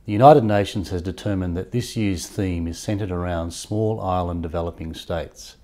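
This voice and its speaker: A man delivering a prepared, measured corporate address on behalf of his firm. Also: deep voice, warm tone. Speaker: company executive.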